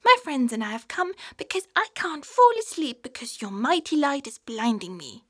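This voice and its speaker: A woman's voice: high-pitched, very animated, normal speed, fairly bright tone, pitched above the performer's own voice, exaggerated voice. A woman voicing a small, polite, sleepless male polar bear cub in a bedtime story, eager in manner.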